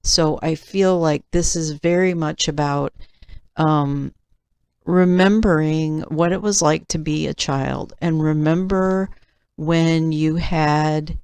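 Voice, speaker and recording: A woman giving a tarot reading in measured, deliberate phrases with pauses, her voice slightly nasal. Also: sits low, fairly even intonation, darkish tone, clean tone, no room sound. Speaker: tarot reader and channel host. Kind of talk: slow, deliberate tarot reading, part read aloud from cards.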